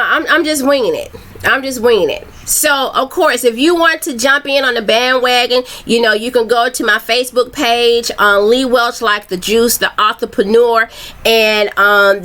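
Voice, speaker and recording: A bright, clear-voiced middle-aged woman talks casually to her viewers. She rambles in a loose, friendly way, full of ums and fresh starts. She sounds like a self-esteem coach going live. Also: on the high side. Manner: loose and chatty, many ums and restarts. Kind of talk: casual live video chat.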